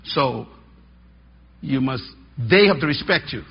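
Middle-aged male pastor, husky, emphatic folksy sermon delivery. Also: medium pitch, animated, slow. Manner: emphatic, rising and dropping for effect, folksy asides. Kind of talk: sermon teaching. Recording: dull lo-fi sermon recording.

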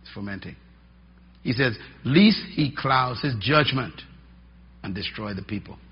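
A middle-aged man preaching a teaching sermon, emphatic and folksy, swinging between loud stresses and quiet drops. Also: mid-range voice, animated, unhurried, slightly rough. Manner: emphatic, rising and dropping for effect, folksy asides. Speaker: pastor. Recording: dull lo-fi sermon recording.

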